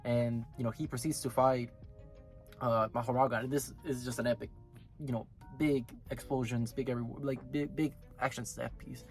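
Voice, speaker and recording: Sick, raspy-voiced man reviewing anime. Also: mid-range voice, steady tone, moderate pace, faint music behind. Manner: rambling, self-correcting. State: sick, hoarse. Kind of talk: casual video review.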